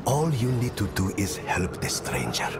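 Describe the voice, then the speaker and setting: An actor playing a caring, worried island villager in a video game, solemn and heartfelt, with music behind. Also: male voice, fairly low pitch, huge pitch swings, normal speed, gravelly, background noise, heavily acted. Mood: worried, tender. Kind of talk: video game character acting. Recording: loud game music underneath.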